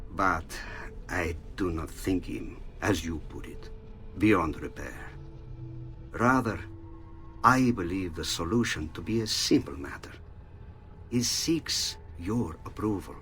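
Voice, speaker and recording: A cautious friar of the Italian Renaissance in a game letter voice-over. He is deep and unhurried, measured and formal in delivery, with faint music underneath. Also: a bit bright, full character voice.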